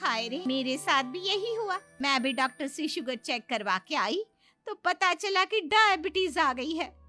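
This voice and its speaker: A middle-aged neighbor from a cartoon story, nosy and eager for gossip, voiced by a woman. She sounds bright and high. Faint music runs underneath. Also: sing-song, unhurried, heavily acted. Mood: gossipy.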